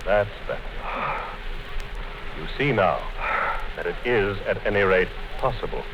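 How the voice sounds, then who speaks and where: An actor in a 1950s radio play playing a calm, coldly menacing male interrogator who speaks in a measured way. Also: low-pitched. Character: cold, patient male party interrogator, middle-aged. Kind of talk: 1950s radio drama acting. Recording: dull 1950s radio broadcast with hiss.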